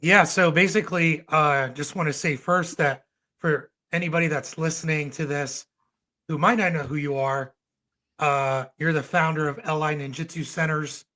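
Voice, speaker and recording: Podcast host: hoarse man, bright and slightly high, easygoing, full of fillers. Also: moderate pace. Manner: relaxed, laid-back, filler-heavy. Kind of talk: casual podcast interview.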